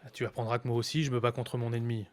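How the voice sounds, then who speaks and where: Actor as a defensive rebel chief, medium-pitched. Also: male voice, little pitch movement, rapid-fire, darkish tone, lightly acted. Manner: defensive, casual, colloquial. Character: haughty rebel chief, defensive under questioning. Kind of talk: acted dramatic dialogue.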